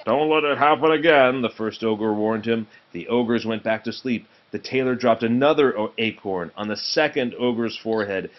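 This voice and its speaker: A man reading a children's storybook aloud in an expressive narrating style. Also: on the low side, moderate pace, few pauses, lo-fi sound. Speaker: storybook reader.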